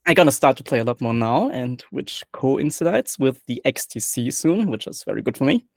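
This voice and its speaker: A man's voice: medium pitch, very animated, normal speed, creaky, no room sound. Man, German accent, podcast guest, jokey, laughs often, repeats words, dry close sound. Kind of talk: casual chat.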